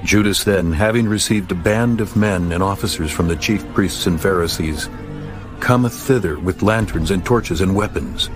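A man narrating scripture from a script in a measured, formal manner. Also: low-pitched. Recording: faint music bed behind voice.

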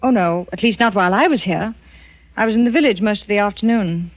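An actress playing a frightened, tense wife in a 1940s radio suspense drama, speaking in a halting, dramatic way. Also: female voice, mid-range voice, natural voice. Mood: uneasy. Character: frightened, tense wife of a murderous doctor in wartime France. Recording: dull old 1940s radio recording with music.

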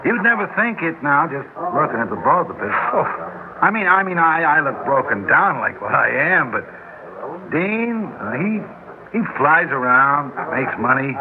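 An actor playing a plainspoken, world-weary bartender in his sixties, talking in a colloquial, halting way in a radio drama. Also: a little high, sing-song. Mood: confiding. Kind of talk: 1960s radio drama acting. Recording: tinny old radio, crowd behind.

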